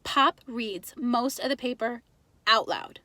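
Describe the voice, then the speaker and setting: A woman reading a children's book aloud as an expressive storyteller. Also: on the high side, slow, no echo.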